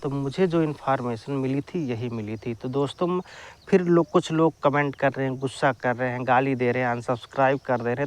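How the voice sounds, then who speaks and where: A man giving an informal explainer to viewers, repeating his phrases for emphasis. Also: medium pitch. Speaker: exam-news YouTuber.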